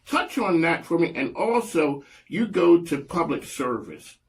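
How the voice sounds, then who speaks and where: A man hosting a radio talk show, chatty and animated, often laughing as he interviews a guest. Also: a little high, a little slow, creaky. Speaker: host.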